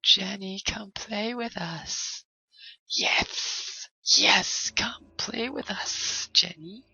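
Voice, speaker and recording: Creepy ghost children: high coaxing whispers, raspy and dull-sounding. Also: male voice, extremely slow, pushed and bright, no echo, full character voice. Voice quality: high breathy whisper, drawn out. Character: ghostly laughing child spirits, coaxing and hungry.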